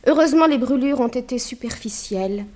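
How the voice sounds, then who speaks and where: A woman's voice: high voice, lively intonation, fairly quick, mellow. An actress playing a cruel, gloating female master criminal in an old radio crime serial, mocking and savouring her threats. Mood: smug gloating.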